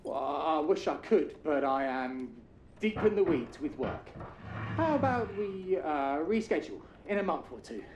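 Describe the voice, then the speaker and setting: Casting: a flustered, stammering male shopkeeper for a game, highish lively voice, echoey room. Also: measured pace. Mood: evasive. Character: nervous, evasive male bookshop keeper dodging questions. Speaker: bookshop keeper. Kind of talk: video game cutscene acting. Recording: roomy echo with faint noise.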